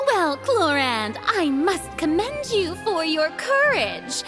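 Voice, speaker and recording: A proud young goddess on trial in a game, high-voiced and swooping. She speaks slowly and grandly, like a stage diva, pompous with a shaken edge. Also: big pitch swings, music in the background, full character voice. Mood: haughty, knowing. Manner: pompous, theatrical, grandiose delivery. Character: theatrical, haughty young female archon on trial, proud yet shaken. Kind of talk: dramatic video game voice acting.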